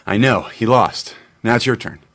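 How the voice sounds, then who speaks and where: A callous young ringleader in a cartoon comedy, played by a man, with a fairly low voice. He goads and commands his friends like he owns the place. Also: animated, moderate pace, vocal fry, fairly bright tone, dry recording, clean studio sound, exaggerated voice. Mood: bossy, insistent. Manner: goading, commanding. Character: bossy, callous young man hosting a sleepover. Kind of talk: animated dark comedy dialogue.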